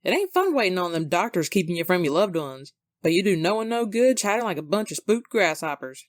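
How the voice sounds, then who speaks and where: A woman plays a down-home cartoon farm pony with a Southern US drawl. She is plainspoken and reassuring, with a swinging, animated pitch in a close, dry recording. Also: fairly quick, no echo. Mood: calm, reassuring. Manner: folksy drawl, homespun sayings. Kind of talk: animated audio drama acting.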